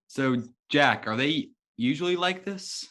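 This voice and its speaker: A man's voice: a little high, lively intonation, unhurried, pushed and bright. A man playing a polite, hesitant young rookie superhero in an amateur table read, speaking casually. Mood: unsure.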